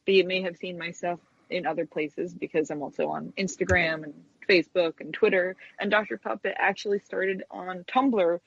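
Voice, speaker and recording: A woman's voice: on the low side, husky, dull audio. A woman in her thirties chatting casually and enthusiastically as an interview guest. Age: 30s. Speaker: guest, stop-motion animator.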